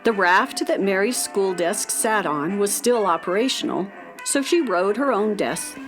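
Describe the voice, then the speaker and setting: A woman's voice: medium pitch, lively intonation, a little slow. Woman narrating a children's story over music.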